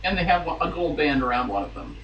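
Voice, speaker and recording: High-voiced man reading romance, roomy sound. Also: lively intonation, quick pace, fairly bright tone, muffled recording. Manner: theatrical, breaks off into amused asides. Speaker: guest reader on a podcast. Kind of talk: dramatic reading of a romance novel with joking asides. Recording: roomy, distant mic, faint noise.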